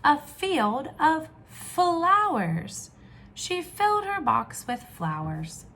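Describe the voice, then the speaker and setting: A woman teacher reading aloud to young children, with expressive questions and drawn-out emphasis. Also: sits high, a little hiss or hum. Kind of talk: children's read-aloud lesson.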